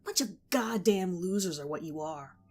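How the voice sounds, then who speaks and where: A woman voicing a hostile young man who yells and spits insults in a horror story reading. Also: on the low side, expressive, normal speed, slightly rough. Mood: contemptuous. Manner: yelling, spitting insults.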